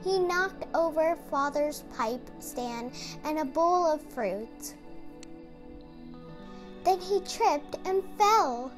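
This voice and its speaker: Casting: female storybook reader, high and animated, for children's read-aloud. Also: very slow, faint music behind.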